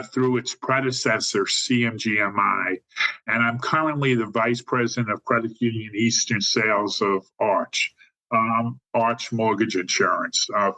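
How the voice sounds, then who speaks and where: A man's voice: medium pitch, pushed and bright, low-quality recording. A man in his sixties with a New York accent, a guest talking casually about his career on a podcast with many "um"s and self-deprecating asides. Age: 60s. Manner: chatty, self-deprecating, filler-heavy. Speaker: guest, mortgage insurance sales executive. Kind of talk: conversational podcast interview.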